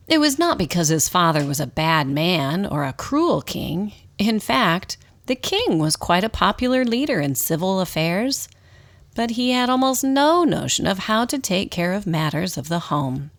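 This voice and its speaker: A woman reading scripted storybook narration as the narrator of a radio drama. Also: lowish voice.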